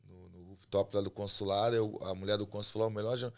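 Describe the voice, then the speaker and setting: A man from Rio de Janeiro with a Carioca accent, a chef chatting as a guest on a podcast in a relaxed, talkative way. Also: lowish voice, quick pace. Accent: Carioca Brazilian Portuguese. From Rio de Janeiro, Brazil. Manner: relaxed, chatty, often ends phrases with a tag question. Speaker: chef and restaurant owner, guest. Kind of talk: casual podcast interview.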